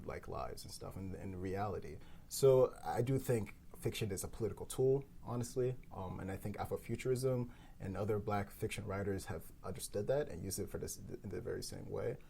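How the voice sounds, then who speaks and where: Man, podcast panelist; low, gravelly voice, loose and rambling. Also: mellow, slight background noise. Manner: rambling, lots of "like" fillers. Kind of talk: casual round-table discussion.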